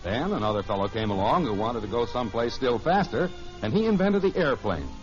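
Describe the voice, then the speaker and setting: A man's voice: medium pitch, very animated, brisk. A man announcing and narrating a 1950s radio program in a scripted style. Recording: muffled vintage radio with music. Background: music.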